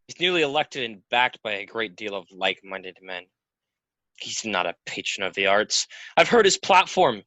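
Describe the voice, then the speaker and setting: A man's voice: fairly low pitch, big pitch swings, moderate pace, bright, forceful tone, no room sound, poor audio. A man acting a restless, defiant theatre player in an audio play, agitated, bitter and argumentative. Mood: scornful. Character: restless, defiant, hot-tempered young male theatre player.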